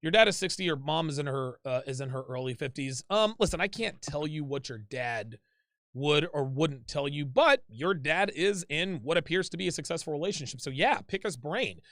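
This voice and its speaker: A man with a fairly high voice hosts a call-in advice show in a loose, chatty way. He repeats words, restarts his sentences and keeps calling people "dude". The sound is close and dry, with no room around it. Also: lively intonation, moderate pace, fairly bright tone, no echo. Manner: casual, repeats himself, calls people "dude".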